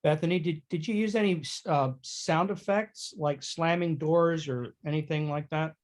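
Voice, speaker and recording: A man with a somewhat high-pitched voice joins a casual theatre discussion. He speaks conversationally and readily gives his opinions. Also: steady tone, normal speed.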